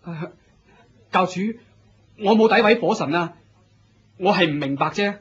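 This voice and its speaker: A man's voice: high-pitched, a little slow, breathy edge, highs cut off. A man with a Hong Kong Cantonese accent playing an eager, naive young novice in a radio drama, hesitant, questioning and deferential. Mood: frightened, pleading. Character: eager, naive young male novice of a fire-worship sect.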